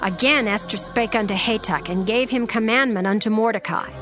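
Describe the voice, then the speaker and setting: A woman's voice: mid-range voice, fairly quick. A woman narrator reading scripted scripture as formal dramatized storytelling in archaic English. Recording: music bed under the voice.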